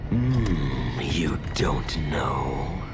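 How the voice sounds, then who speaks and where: A man's voice: a bass voice, big pitch swings, drawn-out words, loud music behind the voice, exaggerated voice. An actor playing a gleeful, megalomaniac chaos villain in a video game, theatrical and boastful, savoring his words. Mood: smug, scheming. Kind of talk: video game cutscene acting.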